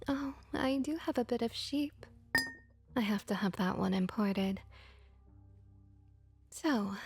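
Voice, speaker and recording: A woman playing a friendly female vampire innkeeper and bartender in an ASMR roleplay, speaking softly and gently, often near a whisper. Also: mid-range voice. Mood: welcoming, attentive. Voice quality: soft, breathy, often slipping into whispers. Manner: unhurried, gentle, reassuring.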